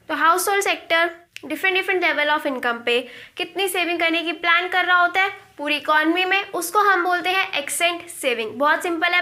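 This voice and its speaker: Woman teacher from india doing an econ lesson, high bright voice, asks herself questions and answers. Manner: mixes Hindi and English, asks and answers her own questions. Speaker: economics teacher. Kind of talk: classroom lesson explaining exam terms.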